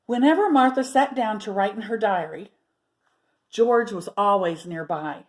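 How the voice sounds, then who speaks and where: A woman storyteller reading aloud to children expressively, pausing to put questions and asides to her listeners. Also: medium pitch.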